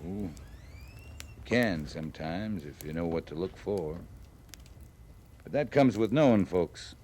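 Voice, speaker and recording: An actor playing a terse, courteous cavalry captain in a 1950s radio western, on a noisy old recording. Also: male voice, deep voice, animated, warm tone. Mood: calm, courteous. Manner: measured, laconic, courteous. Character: steady, terse frontier cavalry captain, male.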